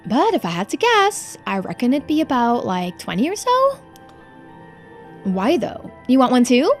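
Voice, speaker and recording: Female player as brash young island woman, video game character, nonchalant, teasing, higher voice, animated intonation. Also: normal speed, soft background music, heavily acted. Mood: breezy, cool.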